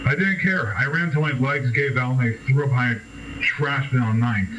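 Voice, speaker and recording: Man playing haunted nineteen-year-old street kid, mid-pitched, halting horror confession, bitter, grave, slightly muffled lo-fi tape. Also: a bit bright, close to an everyday voice. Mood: frightened. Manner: halting, grave, sweary, with dramatic pauses. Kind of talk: found-recording horror monologue acting. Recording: noisy, distant, muffled amateur radio-like tape.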